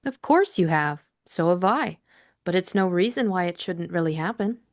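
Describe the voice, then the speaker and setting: Audiobook heroine, read by a woman: frank young lady, slightly low pitch, brisk and matter-of-fact. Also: animated. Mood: earnest. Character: Bundle, spirited, frank, teasing young woman. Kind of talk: audiobook character acting.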